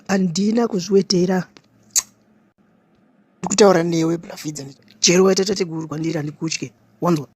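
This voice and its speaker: A man acts out an aggrieved young cartoon rapper with a Zimbabwean accent. He swaggers in a husky, slightly high voice and talks quickly, full of boast and protest. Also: a little mellow, dry recording. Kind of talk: cartoon parody press statement.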